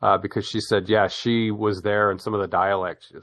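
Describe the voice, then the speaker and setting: A middle-aged man hosts a relaxed conversation in a fairly low voice. He rambles, stumbles over repeated words and restarts, and laughs easily as he talks. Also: moderate pace. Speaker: podcast host. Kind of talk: casual chat.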